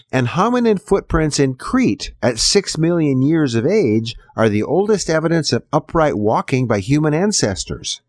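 A man's voice: medium pitch, darkish tone, dry recording, studio quality. A man hosting a scripted audio program, addressing listeners directly like an announcer. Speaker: podcast host. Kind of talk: scripted show introduction.

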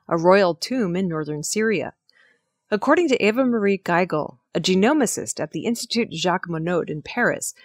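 A woman presenting scripted news stories in a measured, formal reading manner. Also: mid-range voice, no room sound, clean studio sound.

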